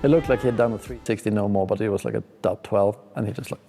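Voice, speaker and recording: A man's voice: fairly low pitch, quick pace, gravelly, dark tone, faint music behind. A young man from Sweden, speaking, gives laid-back, slangy, enthusiastic commentary while reacting to ski clips. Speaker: pro skier.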